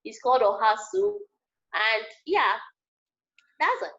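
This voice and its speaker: A high-pitched woman with a Nigerian accent, whose first language is Yoruba, chats as a guest speaker to language students. She gets animated and repeats herself to make a point. The sound is dull and lo-fi, like a video call. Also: measured pace. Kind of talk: casual explaining to a class.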